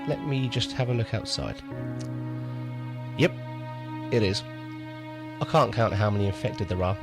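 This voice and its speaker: Man acting a low-voiced wry survivor. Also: expressive, a little slow, loud music behind the voice. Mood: wry, resigned. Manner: wry, understated. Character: wry male survivor and amateur radio host. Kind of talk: audio drama diary monologue.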